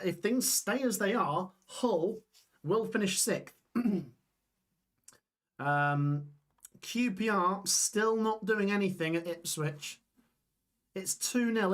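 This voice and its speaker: A young man hosting a live football watch-along stream, reading out scores and chatting with viewers while his voice gets hoarse. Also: high voice, a bit bright. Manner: chatty, reads scores aloud, reacts to chat. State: voice going hoarse.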